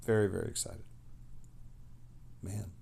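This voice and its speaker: Low, gravelly man chatting on stream. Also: level tone, measured pace, warm tone. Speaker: livestream host reading chat messages. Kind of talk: casual livestream chat, reading messages aloud.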